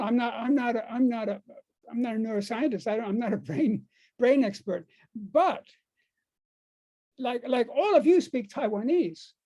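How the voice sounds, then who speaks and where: An older American man giving an informal talk to a group, speaking haltingly and repeating words and phrases for emphasis. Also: extremely high pitch, warm tone, highs cut off. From United States. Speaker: speaker on dream work.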